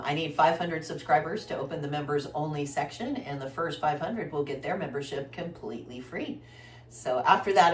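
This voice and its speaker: A woman's voice: deep voice, echoey room, far from the mic. A woman host giving a casual weekly tarot reading, congested from a flu, rambling with many fillers and restarts. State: congested, recovering from flu, coughing. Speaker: tarot reader, channel host.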